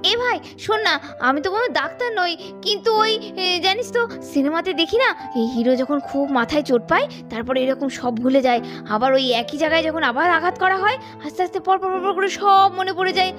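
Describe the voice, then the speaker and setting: An emotional young doll woman acted by a woman with an extremely high voice and a quick, emphatic delivery. It is melodramatic cartoon acting with music playing behind. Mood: anxious. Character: excitable young women of a doll family, anxious and emotional.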